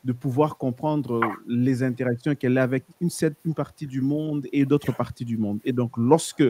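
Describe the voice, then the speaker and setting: A man speaking as a panel guest, explaining in a measured way and often stopping to restart or correct himself. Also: medium pitch, steady tone, background noise. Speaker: guest, editor of a research outlet.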